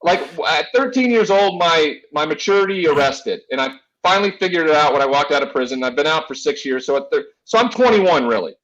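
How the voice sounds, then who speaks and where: A man's voice: on the high side, animated, a little fast, few pauses, a bit bright, muffled recording. A man in his forties hosting a casual sports talk show, chatting and telling personal stories in a rambling, boisterous way with free swearing. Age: 40s.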